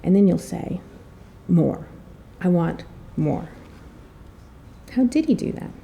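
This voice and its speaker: A woman giving a prepared introduction speech to an audience in a theatrical, storytelling way, with rhetorical questions and pauses. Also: on the low side, big pitch swings, vocal fry, dark tone, slight background noise. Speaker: editor and marketing director introducing an author. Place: room at a public presentation.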